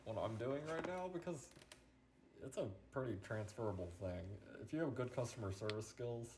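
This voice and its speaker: A man's voice: medium pitch, normal speed, a crowd in the background. A young man from Pennsylvania co-hosting a podcast, chatting casually and laid-back, swearing often. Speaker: podcast co-host. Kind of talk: casual chat.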